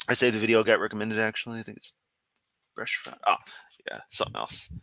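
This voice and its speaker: A man's voice: lowish voice, very animated, fast talker, gravelly, a bit bright. A man hosting a casual live stream, rambling and sarcastic while clicking around, with a sore throat. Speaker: livestream host.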